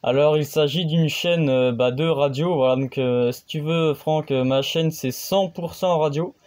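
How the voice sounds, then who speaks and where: A man's voice: a little high, a little slow, a little mellow. A man hosting his own amateur radio channel, explaining things casually and hesitantly, with many fillers and restarts.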